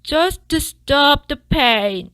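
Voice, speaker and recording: A woman's voice: sits high, animated, a bit bright, dry recording, clean studio sound, voice pushed up, heavily acted. A woman voicing a pitiful, wheedling male fox with a toothache in a storybook, drawing out the words. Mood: pleading, pained. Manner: drawn-out wailing and whimpering. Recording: background music behind the voice.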